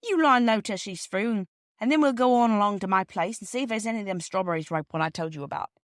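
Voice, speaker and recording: A woman's voice: medium pitch, fast talker, dry recording, pitched above the performer's own voice, close to an everyday voice. A friendly storybook railway porter, acted out by a woman reader, speaks in plain, folksy working-man phrases. The recording is dry. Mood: easygoing.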